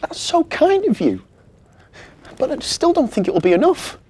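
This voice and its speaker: A storyteller voicing an excitable, kind-hearted little female Christmas elf in a gushing, exclaiming way for a children's story. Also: high voice, normal speed, slight background noise, voice pushed up. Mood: tearful, grateful.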